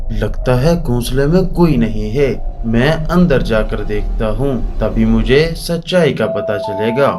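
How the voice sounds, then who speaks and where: A man's voice: medium pitch, natural voice. A man voicing an earnest, resolute male crow in a Hindi children's cartoon. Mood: puzzled, determined. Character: concerned, resolute male crow, helpful friend. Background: music.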